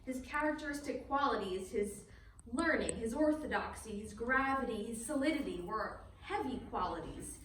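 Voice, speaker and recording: Echoey female narrator, formal and measured. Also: a little high, lively intonation. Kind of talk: scripted historical narration for a stage reading. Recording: distant, very echoey, faint background noise.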